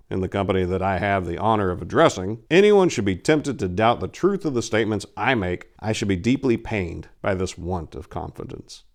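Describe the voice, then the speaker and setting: A man voicing a boastful, gallant aristocratic adventurer, telling tall tales in a grand, theatrical storybook manner. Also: fairly low pitch. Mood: grand, earnest. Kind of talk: dramatic reading of an old storybook.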